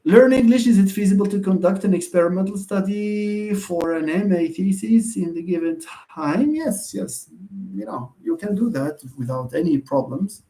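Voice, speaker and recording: A man's voice: high-pitched, expressive, normal speed, mellow, poor audio. A man with a Moroccan accent, a university lecturer answering students' questions in an online session, hesitant with many fillers and restarts, and often asking and answering his own questions. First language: Moroccan Arabic.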